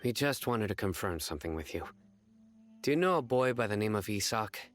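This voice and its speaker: A low-voiced, stern investigator from an anime game, acted by a man. He speaks formally and deliberately, always watchful and measured. Music plays faintly behind him. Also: animated, lightly acted. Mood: probing. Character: stern, vigilant young male investigator, cool and measured. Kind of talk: English anime game dub.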